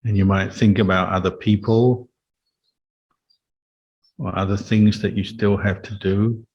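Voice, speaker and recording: Low, even-toned man guiding meditation. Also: breathy edge, dull audio. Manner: calm, measured, instructive. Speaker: Buddhist monk and meditation teacher. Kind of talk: guided meditation and Dhamma talk.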